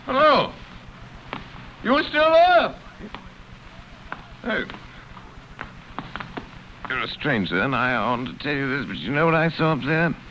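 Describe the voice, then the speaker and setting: Drunk posh gent. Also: male voice, a little high, huge pitch swings, slow pace, long pauses, pushed and bright, slight background noise, full character voice. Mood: rambling drunk. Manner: slurred, rambling, sing-song drunken talk. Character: drunk upper-class English gentleman, rambling. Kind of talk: vintage radio drama acting. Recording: dull lo-fi old radio recording.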